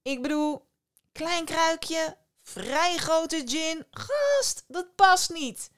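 A cheeky young fisherman, played by a woman narrator, with a lifted, brighter voice. He talks in loose slang and stays quick-witted against the djinn. It is a storybook figure, sly and playful. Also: extremely high pitch, expressive, pitched above the performer's own voice, exaggerated voice.

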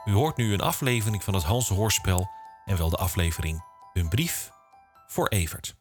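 A man presents a podcast with a scripted introduction. His voice is on the low side, and music plays behind him. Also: very animated, normal speed. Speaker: podcast host.

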